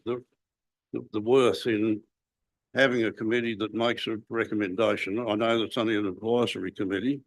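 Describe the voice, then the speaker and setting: A man's voice: lowish voice, dry recording, low-quality recording. A man from Australia, a councillor firmly objecting in a council meeting, stumbling over restarts.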